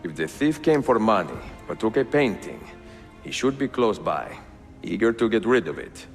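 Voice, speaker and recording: An actor playing a seasoned, calm, determined Italian master assassin, with an Italian accent and a composed, deliberate manner, in a dramatic game. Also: male voice, fairly low pitch, soft background music. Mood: gentle, assured. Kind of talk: dramatic game cutscene acting.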